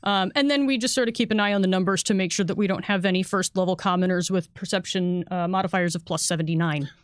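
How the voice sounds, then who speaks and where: A woman's voice: on the low side, fairly even intonation, fast talker, clean tone, no room sound, clean studio sound. A woman who works as a game designer, chatting casually and enthusiastically as she explains a product on a livestream. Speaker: game designer and editor on a panel.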